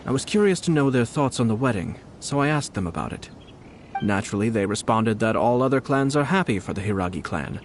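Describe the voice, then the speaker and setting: An actor playing a composed, polite young nobleman in a video game, shrewd and quietly mischievous, speaking in measured phrases. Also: fairly low pitch, big pitch swings, slight background noise. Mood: serious, thoughtful. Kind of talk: video game character acting.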